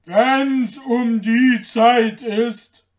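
A man voicing a huge, gruff, gullible old giant from a fairy tale, with a booming, grumbling, drawn-out delivery. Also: steady tone, full character voice. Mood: loud, domineering. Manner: slow, drawn-out, emphatic delivery.

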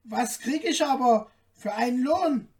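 A man voicing a small, boastful, cheeky tailor in a fairy tale, with a high, light, cocky voice. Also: measured pace, a little mellow, far from the mic. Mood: wary, casual.

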